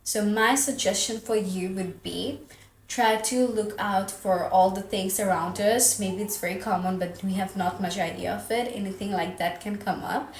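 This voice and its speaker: A young woman with a Bangladeshi accent, chatting casually and quickly as she gives exam advice, with plenty of fillers and restarts. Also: medium pitch. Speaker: vlogger giving exam tips. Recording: echoey room, faint background hum.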